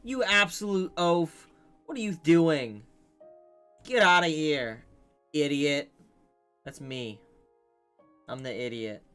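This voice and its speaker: A woman's voice: deep voice, slow pace, pauses often, a bit bright, smooth, soft background music. A woman co-hosting a live stream with casual, self-mocking banter. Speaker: podcast co-host.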